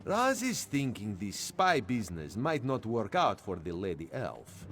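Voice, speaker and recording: A man's voice: lowish voice, wildly swooping pitch, a little slow, fairly bright tone, faint music behind, heavily acted. An actor voicing a wry male cat-folk spy in a video game, who talks about himself in the third person. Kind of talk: video game character dialogue.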